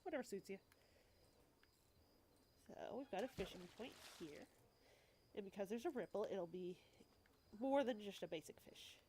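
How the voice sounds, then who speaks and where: A woman's voice: mid-range voice, lively intonation, normal speed, long pauses, warm tone, a little hiss or hum. A woman with a stuffy cold talking casually as a let's play host, explaining the game as she goes with wry asides. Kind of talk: casual gameplay commentary.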